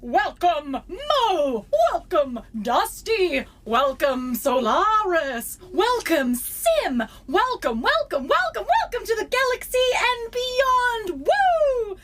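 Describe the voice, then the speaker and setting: Casting: gushing female space captain, very high voice, wildly swooping delivery, theatrical comedy. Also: big pitch swings, fairly bright tone, slight background noise, full character voice. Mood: exuberant. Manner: gushing, dramatic, drawn-out. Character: exuberant, theatrical female starship co-captain and scientist. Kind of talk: sci-fi comedy audio drama acting.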